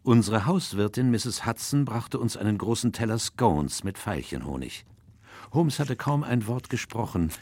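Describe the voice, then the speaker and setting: An actor playing a reflective, loyal elderly doctor narrating his memoirs in a measured, literary way in a radio play. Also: lowish voice, lively intonation, a little mellow, breathy edge. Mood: calm storytelling. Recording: music under the voice.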